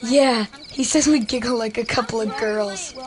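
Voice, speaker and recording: A woman's voice: fairly high pitch, moderate pace, natural voice. A woman playing an eager, pushy ten-year-old boy with a casual, insistent manner. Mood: concerned, casual.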